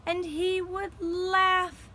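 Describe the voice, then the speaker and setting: A woman telling a gentle children's story for a puppet show, with deliberate, dramatic phrasing and pauses. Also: very high voice, little pitch movement, slight background noise.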